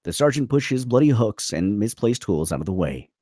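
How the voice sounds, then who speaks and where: A man with a low voice narrates a screenplay, reading scene headings and action. His delivery is dramatic with lively swings in pitch, recorded close and dry. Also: normal speed, dry recording. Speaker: narrator reading stage directions. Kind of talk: dramatic scripted narration at a table read.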